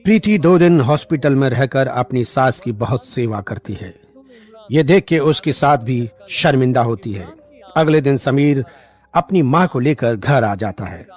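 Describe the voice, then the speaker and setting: A man's voice: medium pitch, lively intonation, unhurried, darkish tone. A man reading scripted narration for a moral story. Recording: music bed behind the voice.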